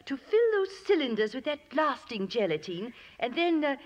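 A prim lady of iron will speaks in a high, very animated voice. Her phrasing is proper and precise, in the manner of 1950s radio drama. The sound is the dull one of a vintage broadcast. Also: moderate pace. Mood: eager, scheming.

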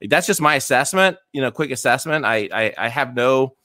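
A man's voice: medium pitch, lively intonation, moderate pace, sharp tone, dry recording, clean studio sound. A man in his fifties, co-hosting a casual political podcast chat, often repeating words as he argues his point. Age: 50s. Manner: repeats words, speculative and emphatic. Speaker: podcast co-host.

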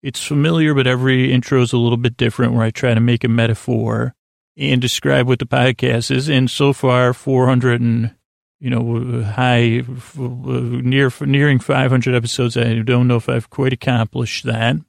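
Gravelly-voiced man rambling to lull listeners. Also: medium pitch, flat delivery, moderate pace, no room sound, clean studio sound. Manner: meandering, self-interrupting, many restarts; soft, lulling delivery. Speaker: podcast host. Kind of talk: rambling late-night sleep podcast talk.

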